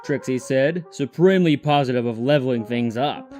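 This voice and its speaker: A man's voice: mid-range voice, moderate pace, over music. A man reading scripted story narration as the narrator.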